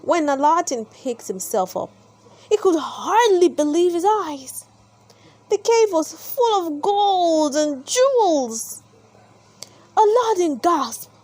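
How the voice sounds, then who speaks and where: A woman with a high voice reads a children's storybook aloud. Her pitch moves up and down in a lively way, and she takes her time, placing deliberate, dramatic emphasis on the words. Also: no echo. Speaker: storybook reader and channel host. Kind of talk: expressive children's read-aloud narration.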